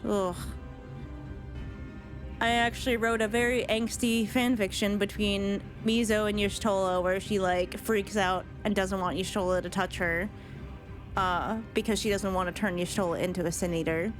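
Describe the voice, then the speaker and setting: Casual woman streamer, average pitch, faint music. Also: steady tone, normal speed, rough recording. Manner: musing, informal, sweary. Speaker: streamer reacting to game cut-scenes. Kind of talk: casual live-stream commentary. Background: faint game music.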